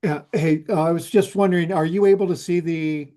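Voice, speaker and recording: A man presenting water rates to a council over a video call, halting and full of restarts and fillers. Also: on the high side, fairly even intonation, dark tone. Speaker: utility rate consultant. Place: remote, joining by video call.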